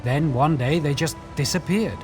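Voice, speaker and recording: An actor playing a scholarly, wary quest guide in a fantasy video game, speaking in a measured, formal, articulate way. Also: male voice, fairly high pitch, darkish tone, lightly acted. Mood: measured, explanatory. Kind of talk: video game dialogue, explanatory. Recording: faint music behind.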